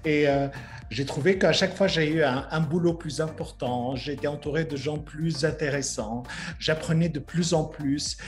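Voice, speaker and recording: Effusive middle-aged man with music behind. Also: on the high side. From Lebanon. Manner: effusive, halting with repeated words, occasional English words. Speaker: guest, fashion creative director. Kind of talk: enthusiastic conversational interview. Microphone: remote video call.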